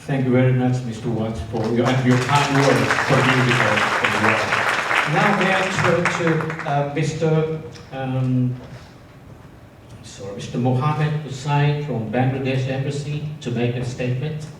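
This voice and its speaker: A man's voice: medium pitch, moderate pace, a bit bright. A man acting as moderator, formally introducing and thanking guest speakers at an event in a large hall. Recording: echoey hall, distant, faint crowd and noise.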